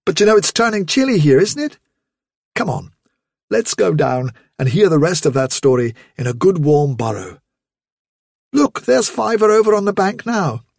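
A good-humoured rabbit chief from a storybook voiced by a man. He talks briskly and jovially, fairly high for a male, with lively rises and falls. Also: creaky, dry recording, clean studio sound, heavily acted. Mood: cheerful, brisk.

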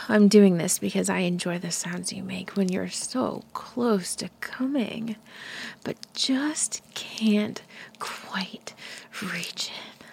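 A woman whispering an intimate audio roleplay, breathy, teasing and commanding. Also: mid-range voice, slow, darkish tone, a little hiss or hum.

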